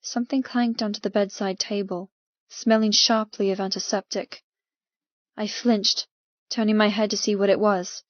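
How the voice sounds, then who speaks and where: Female audiobook narrator: mid-pitched, dramatic, measured storytelling. Dry studio recording, a touch muffled. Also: flat delivery, no echo. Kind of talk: scripted first-person fiction narration.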